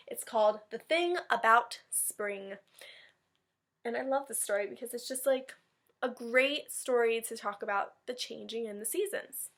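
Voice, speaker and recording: A woman's voice: on the high side, fairly bright tone. A woman teacher chatting informally and animatedly to young children during a read-aloud.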